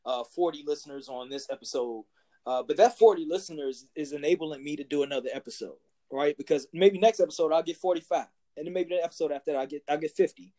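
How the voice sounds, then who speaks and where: A podcast host chats casually with a guest. The host is warmly affirming and keeps chiming in with agreement and encouragement between longer stretches of thought. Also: medium pitch, fast. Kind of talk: casual conversation.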